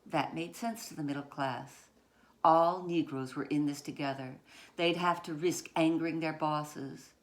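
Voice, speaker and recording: A woman author reading her children's picture book aloud in deliberate short phrases, with dramatic emphasis on key words. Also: low voice, fairly even intonation.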